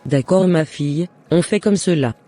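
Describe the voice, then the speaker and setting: Man playing a mellow-voiced scheming neighbour woman. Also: fairly high pitch, normal speed, natural voice. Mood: aggrieved, plotting. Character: jealous, scheming woman neighbour and mother, falsely friendly. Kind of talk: animated moral drama dialogue. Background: faint music.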